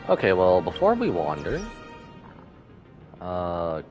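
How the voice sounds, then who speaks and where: A man's voice: low-pitched, huge pitch swings, slow, lo-fi sound. A man doing casual live gameplay commentary as a streamer, playfully reacting and musing aloud. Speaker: game livestreamer. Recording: music behind the voice.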